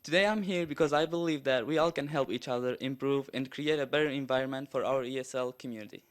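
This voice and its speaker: A man's voice: medium pitch, fast. A teenage boy giving an earnest, prepared presentation at a school board meeting. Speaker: student presenter.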